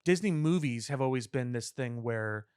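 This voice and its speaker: A man's voice: medium pitch, animated, normal speed, a little mellow, clear, resonant tone, dry recording, clean studio sound. A man co-hosting a pop culture podcast, talking casually in a discursive, analytical way. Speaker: podcast co-host. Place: podcast studio.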